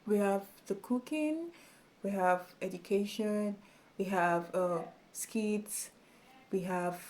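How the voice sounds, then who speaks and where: Woman, small soft voice, unhurried pace, chatty tutorial vlog, talking to camera. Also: medium pitch. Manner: chatty, encouraging, frequent fillers and laughs. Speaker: YouTube tutorial creator. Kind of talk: casual talk to camera. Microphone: camera in front of her.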